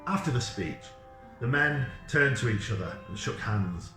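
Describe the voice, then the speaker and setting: A man reads a children's story in measured, dramatic short phrases. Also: mid-range voice, lively intonation, pushed and bright, soft background music. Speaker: author reading from a children's novel. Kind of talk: storybook narration. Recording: echoey room sound.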